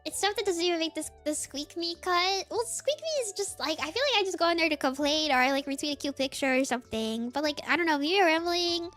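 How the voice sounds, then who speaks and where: A woman's voice: sits high, quick pace, few pauses, fairly bright tone, faint music behind. A woman streamer chatting casually in a rambling, giggly way, full of fillers. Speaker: VTuber streamer.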